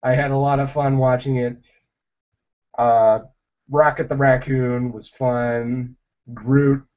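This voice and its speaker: A young man drifts slowly through a casual livestream chat, stopping and restarting his thoughts as he answers viewers. His voice sits at an ordinary pitch for a man, and the sound is dull and lo-fi. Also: level tone. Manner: rambling, halting, with many fillers and restarts.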